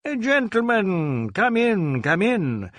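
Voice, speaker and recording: A man voicing a pompous, imperious businessman hungry for publicity in an audiobook, repeating himself excitedly. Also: sits high, slow, no room sound, pitched above the performer's own voice, heavily acted. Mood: gushing. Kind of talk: audiobook character voice.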